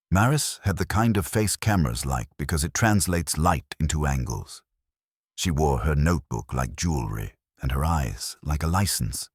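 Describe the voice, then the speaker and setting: A man reading a first-person detective mystery as an audiobook narrator, with wry, measured storytelling. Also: extremely low pitch, breathy edge, dry recording, clean studio sound.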